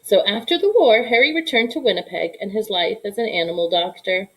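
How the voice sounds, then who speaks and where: A woman reading a children's picture book aloud in an expressive storytelling style. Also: medium pitch, fairly quick, poor audio. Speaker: storybook reader.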